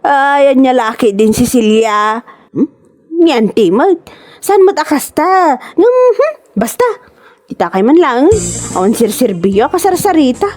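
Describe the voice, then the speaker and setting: Lady playing boastful ilocano woman, scornful and exclaiming, high n super animated, radio comedy drama. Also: measured pace, faint music behind. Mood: boastful, scolding. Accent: Filipino, Ilocano. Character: vain, boastful village woman, quick to mock.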